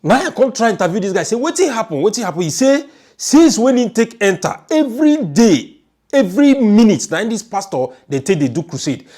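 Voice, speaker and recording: A man's voice: sits high, big pitch swings, fairly quick, a little mellow. A man with a Nigerian accent giving informal, emphatic storytelling commentary, often repeating his phrases. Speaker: online news commentator.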